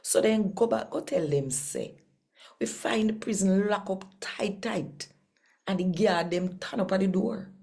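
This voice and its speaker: A woman with a Jamaican accent hosting and reading scripture in an expressive, deliberate storytelling manner. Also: medium pitch, vocal fry. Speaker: host and scripture reader.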